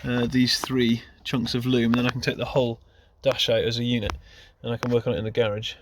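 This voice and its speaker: A man's voice: mid-range voice, level tone, quick pace, noisy background. A man talking casually and off the cuff as he presents a hands-on DIY demonstration, informal and self-deprecating. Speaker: car hobbyist presenting a DIY video.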